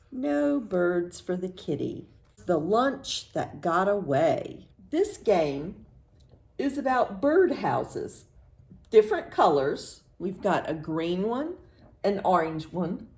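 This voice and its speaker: An American woman leads a storytime for young children. Her pitch moves widely in a singsong read-aloud style, and she takes her time. She poses simple questions, leaves room for an answer, and then affirms it. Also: creaky, a little mellow. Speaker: children's librarian, storytime host.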